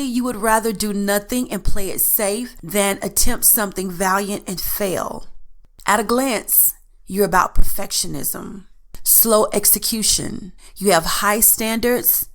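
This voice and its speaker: A woman hosting a solo podcast in a chatty, earnest way, her voice stuffed up from allergies. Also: mid-range voice. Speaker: podcast host. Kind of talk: casual solo podcast talk.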